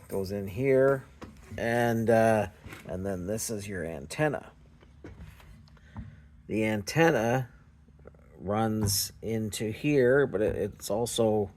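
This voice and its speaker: Low-voiced man, hesitant casual explainer. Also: a little mellow. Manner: hesitant, unsure, halting explanation. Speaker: video host. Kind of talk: casual show-and-tell explanation.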